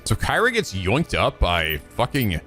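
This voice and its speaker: A man's voice: lowish voice, huge pitch swings, a little slow, bright, forceful tone. A man hosting a let's play, giving casual reaction commentary in a sweary, incredulous, jokey way. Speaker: let's play video host. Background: game cutscene music.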